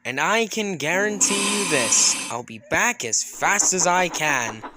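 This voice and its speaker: A man's voice: high-pitched, lively intonation, unhurried, heavy background noise. A man voicing a sarcastic, exasperated male train engine telling his story in a fan animation, hesitant and sweary, with music behind. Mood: sardonic.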